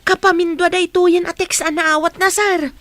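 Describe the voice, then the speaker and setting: An older, excitable housekeeper with a very high voice acted by a woman in a radio drama. She is nosy and fiercely protective. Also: normal speed, soft background music. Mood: alarmed. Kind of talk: Ilocano radio drama acting.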